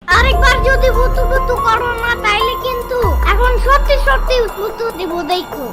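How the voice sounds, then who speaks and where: A woman voicing a cheeky, stubborn little boy who talks back with quick, sassy retorts. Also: very high voice, over loud music. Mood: defiant.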